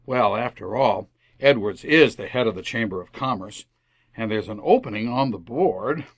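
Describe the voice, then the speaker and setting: Animated, flustered radio dad. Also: male voice, lowish voice, normal speed, breathy edge, dry recording. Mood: defensive. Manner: earnest, defensive. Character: stubborn, flustered, well-meaning suburban husband and father. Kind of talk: old-time radio family comedy acting.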